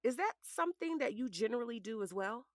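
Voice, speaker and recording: A woman's voice: a little high, animated, quick pace, no room sound, studio quality, natural voice. A woman playing a shrewd defense attorney in a courtroom audio drama, questioning in a pointed, dryly sarcastic way. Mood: probing, sly.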